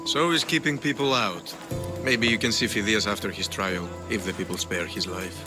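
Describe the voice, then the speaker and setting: An actor playing a gruff, threatening Athenian guard in a video game, curt and menacing. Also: male voice, on the low side, moderate pace, over music, natural voice. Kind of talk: video game cutscene acting.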